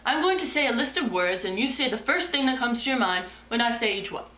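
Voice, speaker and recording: A woman's voice: fairly high pitch, lively intonation, fast talker, sharp tone. A woman playing a pompous, self-absorbed male psychoanalyst in an amateur comedy skit, clinical and easily flustered. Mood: smug, clinical. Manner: clinical, self-important, flustered stammering when challenged. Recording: roomy echo, distant microphone.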